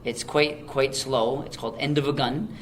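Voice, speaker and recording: A man co-hosting a casual movie podcast, talking in an animated way and often mimicking his co-host. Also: medium pitch, normal speed, a little hiss or hum. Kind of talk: casual chat.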